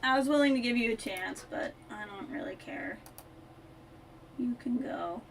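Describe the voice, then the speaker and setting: A woman's voice: fairly high pitch, moderate pace, gravelly. A woman doing casual live-stream game commentary, chatting offhand and muttering asides to herself. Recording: keyboard clatter, faint noise.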